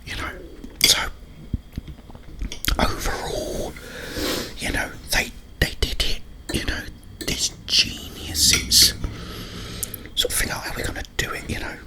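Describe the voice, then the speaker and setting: A man speaks entirely in a breathy, unvoiced whisper. He takes his time, rambling in ASMR style with halting phrases and long pauses between thoughts. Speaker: ASMR creator. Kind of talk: whispered ASMR ramble about video games.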